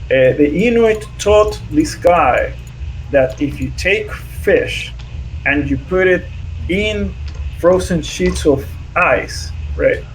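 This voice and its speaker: Man, guest on a stream, higher voice, slow and halting, "right?" checks, faint hiss. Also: animated, low-quality recording. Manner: halting, explains with many fillers and "right?" checks. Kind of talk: casual explanatory talk.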